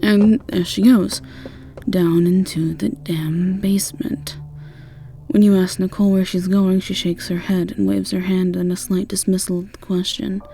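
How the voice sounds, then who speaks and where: A woman narrates a scripted fantasy roleplay story, addressing the listener directly. Her voice is mellow and soft-edged at a middle pitch, with faint music underneath. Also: little pitch movement, a little slow, vocal fry.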